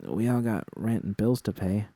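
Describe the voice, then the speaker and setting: A man's voice: fairly low pitch, sing-song, normal speed, mellow, breathy edge, dry recording, full character voice. A man voicing an anxious young woman in a story, worried and hesitant as she seeks reassurance.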